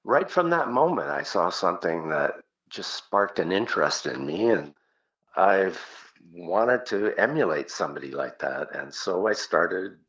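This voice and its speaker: A man's voice: sits low, expressive, measured pace, fairly bright tone, muffled recording. An older man with a Canadian accent, a singer casually reminiscing as an interview guest.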